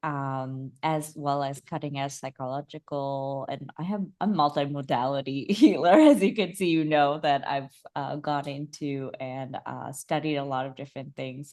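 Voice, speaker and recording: A young woman from Bangladesh, a holistic coach, talking about her life in a soft, reflective way with many fillers and restarts. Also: extremely low pitch. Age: young adult. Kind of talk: casual interview chat.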